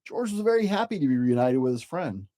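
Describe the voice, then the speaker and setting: A storyteller reading a children's picture book aloud in an expressive, gentle way, as if to young children. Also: mid-range voice, brisk.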